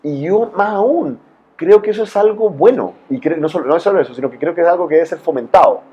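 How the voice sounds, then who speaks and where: A man's voice: a little high, fast talker, slightly rough, muffled recording. A man with a Chilean accent speaking as a forum guest, arguing informally with anecdotes in an emphatic, colloquial way peppered with tag questions. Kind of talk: informal spoken argument with anecdotes.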